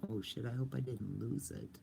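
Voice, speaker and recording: A woman's voice: extremely low pitch, moderate pace, creaky, dark tone, slight background noise. An older woman vlogging in a casual, rambling and goofy way, chatting to viewers. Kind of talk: casual livestream chat.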